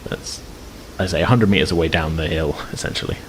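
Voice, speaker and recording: A man's voice: rumbling low, brisk, low-quality recording. A man running a tabletop game as game master, improvising descriptions and often groping for words. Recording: clear background noise.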